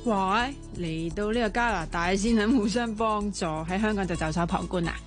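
A woman's voice: mid-range voice, normal speed, faint music behind, natural voice. An actress playing a cheeky, grumbling young woman in a radio drama, with a Hong Kong Cantonese accent. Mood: petulant. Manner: teasing, petulant.

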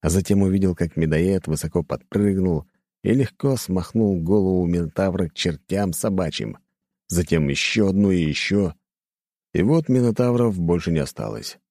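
Male narrator for audiobook fiction: low, velvety voice, close dry recording, scripted first-person storytelling. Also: moderate pace, mellow, no room sound.

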